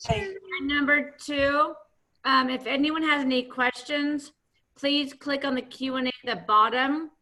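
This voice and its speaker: A woman's voice: high-pitched, steady tone, a little slow, sharp tone, poor audio. A woman co-moderating an online seminar over a video call, giving plain housekeeping instructions to the audience.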